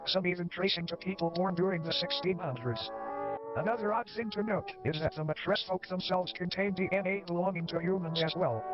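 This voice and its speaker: An actor playing a wry, eerie male narrator, reading a scripted horror documentary in a measured, formal way. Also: high-pitched, lightly acted. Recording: band-limited lo-fi sound, loud music behind. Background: music.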